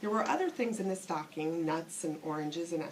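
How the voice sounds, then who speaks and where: A woman's voice: low voice, expressive, fairly quick, poor audio. A woman narrating a children's bedtime story, reading aloud from a storybook. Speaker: storybook reader. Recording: faint background noise, room ambience.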